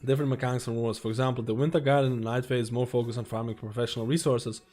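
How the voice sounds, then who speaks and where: This is a male streamer at a mid-range pitch, reading a guide aloud and breaking off into relaxed asides. His voice is wearing thin, and he stumbles and restarts words as he goes. Soft music plays faintly behind him. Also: racing. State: losing his voice. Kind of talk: live-stream chat, reading a guide and viewer questions aloud.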